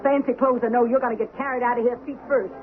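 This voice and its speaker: A woman's voice: fairly high pitch, steady tone, fast talker, natural voice. Woman acting brassy, tough western saloon keeper. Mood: brash, threatening. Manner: brash, challenging. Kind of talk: 1970s radio drama acting. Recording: lo-fi vintage radio, narrow band, music.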